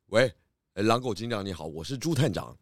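A man playing a dependable, slightly weary pig detective in a children's audio drama, calm and businesslike. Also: on the low side, fairly quick, dry recording, natural voice.

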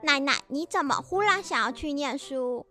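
A woman's voice: high voice, animated, moderate pace, pushed and bright, soft background music. A young woman playing a curious, sweet schoolgirl granddaughter in a family radio drama.

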